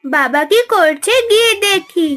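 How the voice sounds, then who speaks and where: Little village girl, very high voice, bright, eager, cartoon. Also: animated, a little slow, exaggerated voice. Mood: curious. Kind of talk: cartoon voice acting in a family drama.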